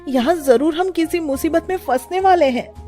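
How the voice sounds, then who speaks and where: A woman's voice: high voice, normal speed, dark tone, soft background music, pitched above the performer's own voice, close to an everyday voice. A woman voicing an anxious, scolding wife and mother in a children's cartoon story, fretful and nagging. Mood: worried.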